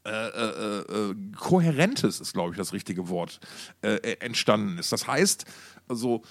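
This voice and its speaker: A man's voice: fairly low pitch, animated, measured pace, creaky, fairly bright tone. A man co-hosting a podcast in casual, slangy, enthusiastic music talk, often repeating words as he searches for phrasing. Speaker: podcast co-host.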